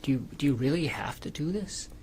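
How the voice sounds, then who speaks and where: A man testifying as a defendant in court with a creaky voice, hesitant and stammering, often repeating his words. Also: a little high, a little fast, darkish tone. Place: courtroom witness stand.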